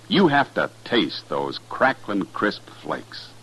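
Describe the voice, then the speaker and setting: An American man announcing and selling in 1950s radio style, emphatic and folksy, with a husky voice. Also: fairly low pitch, sing-song, slow pace, a bit bright, rough recording.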